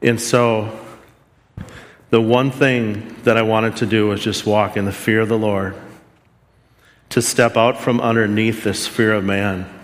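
A man with a fairly low voice tells a personal story in a sermon to students, earnest and self-deprecating. His words ring out with a long hall reverb. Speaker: preacher.

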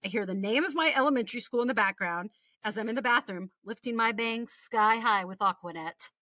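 A woman's voice: on the high side, fairly quick, sharp tone, no room sound. An American woman in her forties, a podcast co-host reading a personal story aloud with candid, wry, chatty asides and casual swearing. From Texas, United States. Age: 40s.

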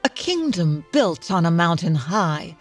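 A woman's voice: fairly low pitch, sing-song, a little slow, faint music behind. A woman as announcer, giving theatrical storybook narration in the manner of old-time radio.

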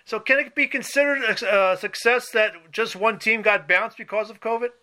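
A man hosting a sports podcast interview, speaking somewhat haltingly as he puts his questions. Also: high voice, bright, forceful tone.

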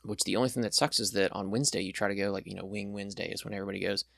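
A man's voice: fairly low pitch, fairly even intonation, racing, vocal fry, fairly bright tone, dry recording, clean studio sound. A young man chatting as a podcast guest, rambling and enthusiastic with lots of fillers. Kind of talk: casual chat.

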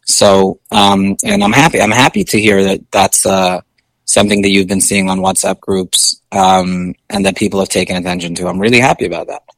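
Low-voiced man talking loosely. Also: fairly quick, fairly bright tone, no echo. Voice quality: low speaking voice. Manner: loose and conversational, many fillers and restarts. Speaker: singer being interviewed. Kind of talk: casual interview chat.

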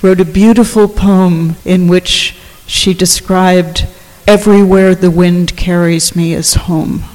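A woman speaking as a panelist in a reflective, literary way, with deliberate, poetic phrasing. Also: medium pitch, darkish tone. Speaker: panelist, poet. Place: large hall, live panel. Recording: reverberant hall.

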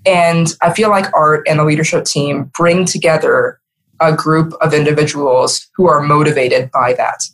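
A woman's voice: sits low, steady tone, moderate pace. A woman, middle-aged, speaking earnestly and emphatically as a guest in a conversational podcast interview. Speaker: interview guest, marketing executive.

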